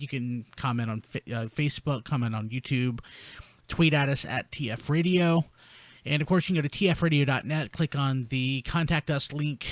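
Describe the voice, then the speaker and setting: A man's voice: mid-range voice, no room sound. A man hosting a casual panel chat, informal and blunt in the way he talks. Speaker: podcast host.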